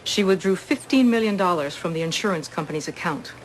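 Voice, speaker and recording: A woman's voice: lowish voice, animated, brisk, background noise, natural voice. An actress playing a stern, no-nonsense female police captain in a crime audio drama. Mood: brisk, businesslike.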